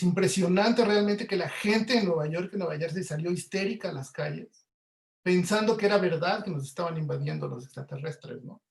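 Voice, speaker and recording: A man's voice: sits high, a little mellow. A Mexican man giving an informal explanatory webinar lecture, often checking in with short tag questions. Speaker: lecturer, audio producer. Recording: echoey room.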